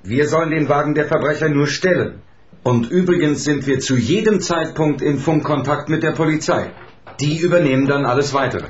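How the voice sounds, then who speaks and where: An actor playing a businesslike, level-headed racing team member, explaining things in a measured way in a radio play. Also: male voice, on the high side, lightly acted. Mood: serious, explaining. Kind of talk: German radio play acting. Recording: echoey, slightly dull room.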